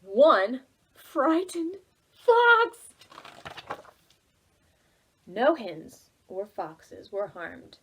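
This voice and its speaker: A woman leading preschool storytime, reading aloud in an expressive, playful way and speaking directly to young children. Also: fairly high pitch, drawn-out words, long pauses, breathy edge.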